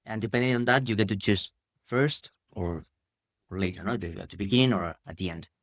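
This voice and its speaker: A man's voice: fairly low pitch, fairly quick, no echo, studio quality. A man, a podcast guest explaining things at length and rephrasing as he goes, often adding "no?" at the end of a thought. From Spain. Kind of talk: casual interview answers.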